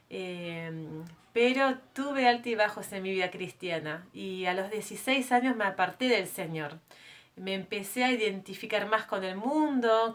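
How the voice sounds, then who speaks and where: A woman's voice: medium pitch, fairly bright tone. A woman in her thirties from France, giving her missionary testimony to a group of women in an earnest, conversational way, with frequent hesitations and self-corrections. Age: 30s.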